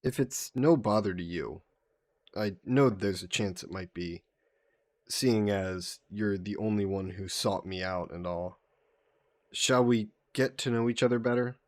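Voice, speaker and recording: A man voicing a vain sea monster who poses as a mannered gentleman in a roleplay audio drama, speaking in suave, formal phrases. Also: on the low side, a little slow, no echo, lightly acted. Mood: flirty, curious. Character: flirty, vain sea monster posing as a gentleman traveler, turning menacing.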